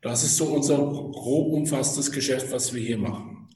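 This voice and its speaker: A man's voice: mid-range voice, fairly even intonation, moderate pace, mellow. Man in his fifties, echoey room. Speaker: podcast guest, office employee in leasing. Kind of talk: halting spontaneous self-introduction. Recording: roomy, echoing indoor sound.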